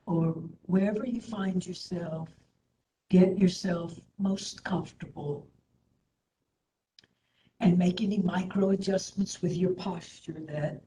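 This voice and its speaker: A woman instructor leading a guided meditation in a soothing, gentle manner, with hushed phrase endings. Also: lowish voice. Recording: roomy echo, faint background noise.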